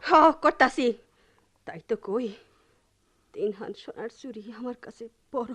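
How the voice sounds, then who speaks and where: An actress playing a defiant, playful village wife with a rural Bangladeshi accent in an emotional, singsong radio drama style. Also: female voice, a little high, measured pace, a bit bright, breathy edge. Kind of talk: Bengali radio drama acting.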